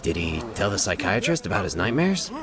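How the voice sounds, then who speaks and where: A man's voice: sits low, big pitch swings, fairly quick, music in the background. A man playing a casual, curious, sympathetic asylum attendant in a dramatic story reading. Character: plain-spoken, curious male asylum attendant.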